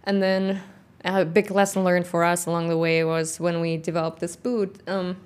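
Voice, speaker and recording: A middle-aged woman with a non-native English accent, a company founder in a casual interview, talking thoughtfully with many pauses. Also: lowish voice, level tone, clean tone. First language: not English; grew up in Kazakhstan, speaks Russian. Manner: thoughtful, frequent "um" pauses, light laughter. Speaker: guest, founder of a workwear boot company.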